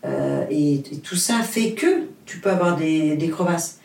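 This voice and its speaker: A woman's voice: low-pitched, animated, measured pace, gravelly, a little mellow. Woman, roomy distant sound. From Portugal. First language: Portuguese. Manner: hesitant, many fillers and restarts, earnest. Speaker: author, interview guest. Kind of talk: casual interview chat.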